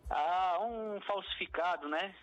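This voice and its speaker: A man's voice: sits high, slow, a bit bright, highs cut off. A man from Brazil, a musician guest on a radio interview, speaking hesitantly with many restarts and fillers. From southern Brazil.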